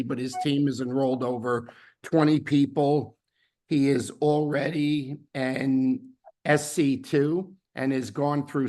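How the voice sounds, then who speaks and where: A man hosting a weekly team video call, his voice hoarse from laryngitis, rambling with fillers and restarts. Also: medium pitch. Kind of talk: casual team announcements.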